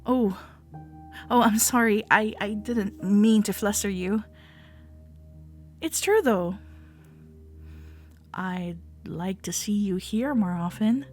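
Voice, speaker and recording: Casting: actress as tender, teasing female cuddle companion for comfort audio roleplay. Leisurely delivery, soft and breathy, attentive, light music underneath. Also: medium pitch, takes it slowly, pauses often, lightly acted. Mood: flattered, playful. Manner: tender, soft-spoken, often dropping into a breathy whisper. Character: affectionate, gently teasing cuddle club woman, soothing and attentive. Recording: soft cafe jazz music behind. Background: soft background music.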